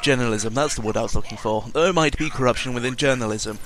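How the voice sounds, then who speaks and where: A man's voice: medium pitch, quick pace, very noisy. A man giving a casual, rambling audio-log monologue as host, sardonic and cynical, often interrupting himself and swearing freely.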